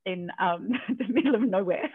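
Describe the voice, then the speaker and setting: Woman, 50s, from New Zealand; coach, anecdotal and easygoing, mid-pitched, heard over a thin video-call line. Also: normal speed, slightly rough. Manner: storytelling, chatty, quotes herself. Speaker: leadership coach. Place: home office in a country house. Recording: tinny video call audio.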